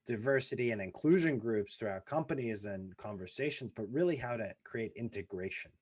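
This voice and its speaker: A man in his thirties hosting a podcast, telling his family's immigration story in an earnest, emphatic way, stacking lists and repeating phrases for weight. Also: fairly low pitch. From former Soviet Union, raised in the US. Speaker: podcast host. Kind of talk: personal storytelling monologue.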